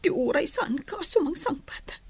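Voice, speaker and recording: A woman's voice: high-pitched, sing-song, moderate pace, a little mellow, no echo. A woman with an Ilocano accent playing a homesick, long-suffering young village wife in a radio drama, plaintive and pleading. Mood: hurt.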